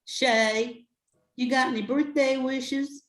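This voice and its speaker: A woman's voice: high-pitched, steady tone, slow pace, fairly bright tone. An older woman playing a scrappy bayou grandmother in her fifties in an amateur radio play. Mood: fond. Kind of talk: amateur radio drama reading. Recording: echoey room.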